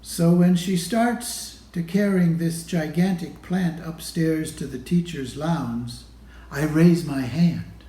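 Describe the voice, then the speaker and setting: Man, children's storybook read-aloud, unhurried pace, soft mellow tone, deliberate pauses. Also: on the high side. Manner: deliberate, pausing between phrases to show pictures. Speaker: storybook reader. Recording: roomy, echoey room sound.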